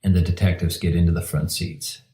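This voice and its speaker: A man's voice: deep voice, little pitch movement, fairly quick, dark tone. A man reading scripted narration for an audio drama in a roomy recording with music behind him.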